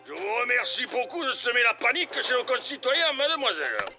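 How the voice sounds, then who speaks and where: A man's voice: very high voice, very animated, a little fast, music in the background. A man voicing a blustering, worn-out older police officer in a cartoon, theatrical, huffing and bumbling. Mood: pompous.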